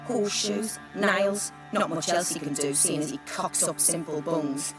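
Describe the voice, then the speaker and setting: A woman's voice: lowish voice, steady tone, measured pace, close to an everyday voice. An actress playing a proud, resentful craftswoman in a fantasy game, speaking bluntly and assertively. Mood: bitter. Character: proud, blunt, resentful woman armourer, determined to prove herself. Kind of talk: dramatic game dialogue. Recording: game dialogue with music behind.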